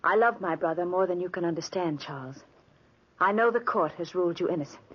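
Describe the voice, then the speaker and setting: An actress playing a grieving, resolute woman torn between love and distrust, with deliberate melodrama in a 1940s radio play. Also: on the low side, slight background noise, natural voice. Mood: sorrowful, resolute. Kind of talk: 1940s radio drama acting. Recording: muffled old 1940s radio recording.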